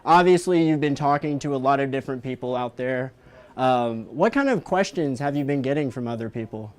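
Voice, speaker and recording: A young man hosting a live news interview, asking questions in measured, hesitant phrases. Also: medium pitch. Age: young adult. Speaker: digital news host, interviewer.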